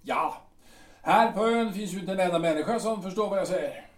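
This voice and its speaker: A man's voice: high-pitched, distant mic, lightly acted. A man playing a bitter, aging artillery captain who grumbles and hesitates with many ums, in an audio drama. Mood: grumbling. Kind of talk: Swedish audio drama acting. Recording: roomy, off-mic sound.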